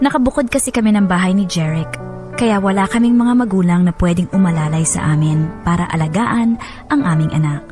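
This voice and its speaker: A woman's voice: lowish voice, a little fast, natural voice. A woman acting as a weary young new mother, narrating her story as a dramatized radio letter in a confiding way. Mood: weary, plaintive. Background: music.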